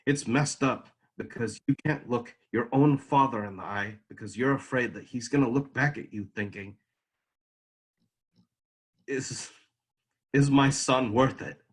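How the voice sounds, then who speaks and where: A man performing a dramatic monologue as a bitter, anguished grown son, intense, halting and pleading. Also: medium pitch, breathy edge, voice pushed up.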